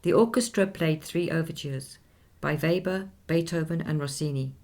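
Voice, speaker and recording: An actress playing a woman who recounts a composer's life in measured, informative radio-play narration. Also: low voice, darkish tone. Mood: composed.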